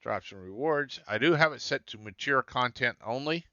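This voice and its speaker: A man's voice: mid-range voice, lively intonation, moderate pace, pushed and bright, dry recording, highs cut off. A man with a scratchy voice hosting a live game stream, muttering to himself as he searches through settings. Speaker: gaming streamer.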